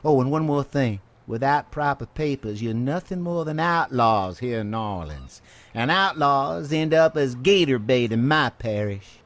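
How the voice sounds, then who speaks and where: A man's voice: mid-range voice, animated, measured pace, lightly acted. An actor playing a smug, bullying Southern lawman in a video game cutscene, taunting and mock-polite. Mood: threatening. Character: menacing, smug Southern American lawman, male, middle-aged.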